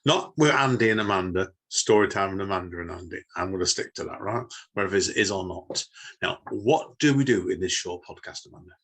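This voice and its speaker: A man's voice: low voice, expressive, brisk, a bit bright, slightly rough. A man with a Manchester-area Northern English accent, chatting as a podcast co-host in a rambling way full of tag questions. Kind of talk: casual podcast chat.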